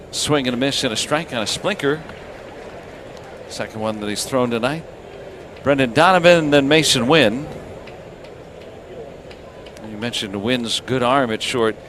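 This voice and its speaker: Male baseball radio announcer, mid-pitched play-by-play commentary, clear outdoor stadium noise behind. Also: fairly bright tone. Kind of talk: live radio sports commentary.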